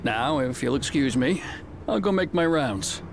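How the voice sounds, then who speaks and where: An actor playing a smug, condescending deputy chief of frontier lawmen in a video game, speaking in a measured, formal way. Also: male voice, medium pitch, animated, a little hiss or hum. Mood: smooth, dismissive.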